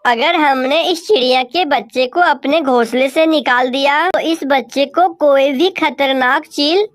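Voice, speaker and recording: A woman voicing a gentle, soft-hearted female crow wife in a children's cartoon, speaking respectfully and pleadingly. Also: high-pitched, measured pace, no echo. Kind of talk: Hindi children's cartoon dialogue.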